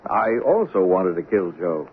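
An actor playing a patient, halting police officer who humors and soothes, in a 1970s radio drama. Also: male voice, low voice, big pitch swings. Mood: confiding. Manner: hesitant, placating. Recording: lo-fi noisy vintage radio broadcast.

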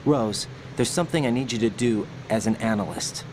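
A man's voice: lowish voice, brisk, lightly acted. A man with an American accent playing an earnest, determined young special operative in a video game. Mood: concerned, insistent. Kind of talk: video game cutscene acting. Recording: clear vehicle and ambience noise behind.

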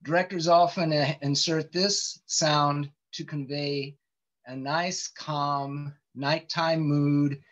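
A man's voice: fairly high pitch, steady tone, slow, a bit bright. A man giving an informal online lecture over a video call, explaining things with many ums and restarts. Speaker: presenter giving a webinar talk. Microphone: computer mic on a video call. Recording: dull lo-fi call audio.

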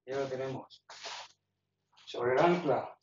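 A man's voice: medium pitch, slow pace, distant mic. A man casually explaining, step by step, how he rigs his gear, talking in a stop-start way while handling it. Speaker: kayak fishing hobbyist showing his gear. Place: garage with roll-up door. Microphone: phone camera held in hand. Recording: echoey garage, phone mic, dull and noisy.

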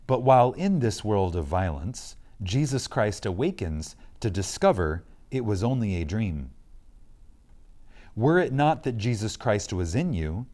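A man giving a reverent, scripted reading of a spiritual lecture, solemn and emphatic, with deliberate pauses. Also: fairly low pitch, sing-song, darkish tone.

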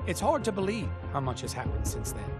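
A man's voice: mid-range voice, sing-song, brisk, over loud music, close to an everyday voice. A man playing an eccentric inventor in a video game, narrating an epilogue in a reflective storytelling manner. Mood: reflective, satisfied. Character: eccentric male inventor looking back on his adventure.

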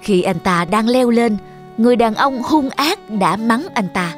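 A woman's voice: a little high, expressive, a little slow, faint music behind. A woman narrator reading a scripted fairy tale for children.

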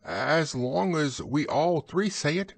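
A little storybook pig, acted out by a man, talks in a raised, thin pitch. He is timid and anxious, hesitating nervously over his words. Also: takes it slowly, gravelly, full character voice.

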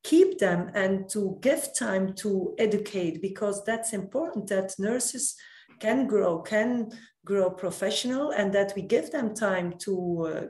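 A middle-aged woman speaking halting, non-native English as a guest in a panel discussion on a video call. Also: mid-range voice, a little mellow, reverberant. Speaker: podcast guest, nurse.

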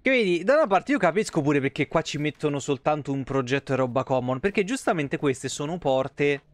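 A man's voice: a little high, animated, brisk. A man in his thirties with a Roman Italian accent, giving casual, slangy live game commentary and chatting with viewers in rambling asides. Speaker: game streamer.

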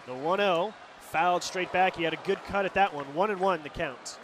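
A high-voiced American man does live radio baseball play-by-play. He describes the action in short, clipped phrases and reels off stats over a loud stadium crowd. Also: fairly quick, noisy background. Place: stadium broadcast booth. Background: ballpark crowd and stadium ambience.

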